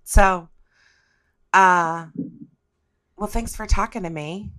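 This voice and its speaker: A woman's voice: medium pitch, slow pace, fairly bright tone. A woman from the United States in a casual podcast chat, talking in a wry, reflective, rambling way. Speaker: podcast guest discussing topic of the week.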